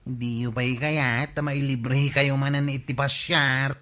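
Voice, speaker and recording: An actor playing an easygoing village sidekick with an Ilocano accent in a radio comedy drama. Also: male voice, medium pitch, flat delivery, slow pace, pushed and bright. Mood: cheerful.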